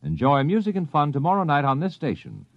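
A man's voice: a little high, huge pitch swings, fairly quick, no room sound. A man reading scripted promos as a 1950s network radio announcer, polished and emphatic. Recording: dull, dry vintage radio recording.

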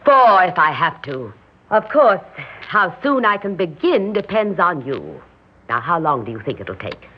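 An actress in an old-time radio drama playing an imperious, grandiose, scheming society hostess with a commanding, theatrical delivery. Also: female voice, on the low side, sing-song, a little slow, husky, full character voice. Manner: theatrical, commanding, self-assured. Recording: dull, muffled old radio recording.

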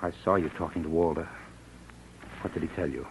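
An actor playing a scheming, short-tempered swindler in a menacing 1940s radio drama style. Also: male voice, extremely low pitch, a little fast, creaky. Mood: wheedling. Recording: dull, noisy old radio recording.

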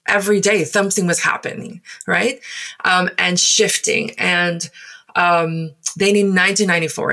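A woman in her forties with a South African accent and Afrikaans as her mother tongue recalls her past in English. Her voice sits on the low side with a lot of vocal fry. She speaks reflectively, stopping often and filling gaps with "um.". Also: a bit bright. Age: 40s. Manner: thoughtful, halting, many pauses and fillers. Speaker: guest. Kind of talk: reflective personal storytelling.

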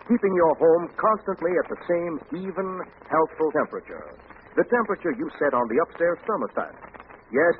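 A man announcing a scripted commercial in 1940s radio style. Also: fairly high pitch. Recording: tinny, faintly noisy old radio broadcast.

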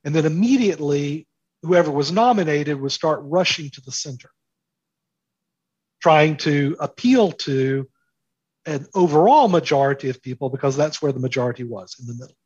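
A man's voice: fairly high pitch, lively intonation, moderate pace, long pauses, a little mellow, breathy edge, no echo, lo-fi sound. A man speaking as an interview guest, explaining his commentary with analogies, rhetorical questions and emphatic repetition.

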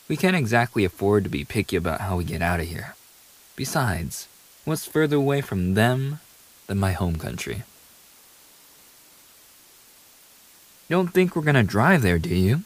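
A man's voice: on the low side, poor audio. A man playing a famous young pop idol boyfriend in an ASMR audio roleplay, playful, affectionate and eager, teasing the listener in a casual, intimate way. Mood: hushed, urgent, then playful, boastful. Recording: steady hiss behind the voice.

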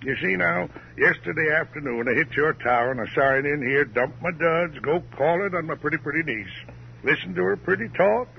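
An actor playing a sly, folksy uncle from out West in an old radio crime drama, drawling and repeating himself with cozy, mock-sweet familiarity. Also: male voice, fairly high pitch, normal speed, vocal fry. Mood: sly, relishing. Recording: muffled, hissy old radio broadcast.

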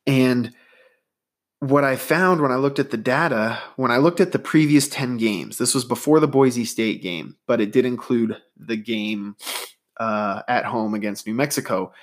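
Man, stuffy nose, solo podcast host, casual rambling talk, mid-pitched voice. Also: unhurried. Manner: conversational, rambling, data-minded.

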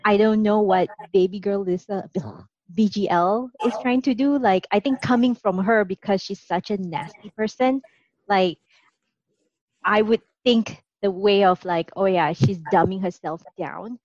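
A woman's voice: medium pitch, a little slow, darkish tone, no echo, dull audio. Woman with a faint Singaporean accent. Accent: light Singaporean under an American-leaning accent. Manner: chatty, full of "like" fillers and restarts. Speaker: podcast co-host. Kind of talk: casual podcast chat.

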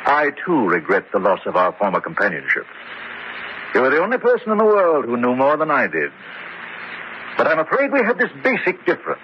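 An actor playing a haunted, proud scientist in a melodramatic horror radio drama, speaking deliberately with dramatic pauses. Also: male voice, mid-range voice, wildly swooping pitch. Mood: courteous, defiant. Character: grown scientist prodigy, haunted, proud, intense former pupil. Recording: muffled, noisy radio drama recording.

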